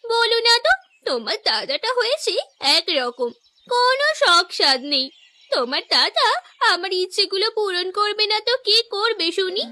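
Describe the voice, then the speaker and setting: A woman's voice: very high voice, sing-song, moderate pace, sharp tone, no room sound, full character voice. A woman voicing a discontented, scheming young wife in a cartoon story, coaxing and complaining in a coy way. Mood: aggrieved, whiny. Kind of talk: animated cartoon story dialogue.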